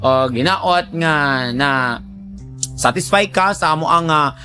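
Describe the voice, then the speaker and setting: A man's voice: on the high side, takes it slowly, sharp tone, faint music behind. A man hosting a radio advice programme, talking conversationally in an emphatic, repetitive, colloquial way. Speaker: radio host giving advice to listeners.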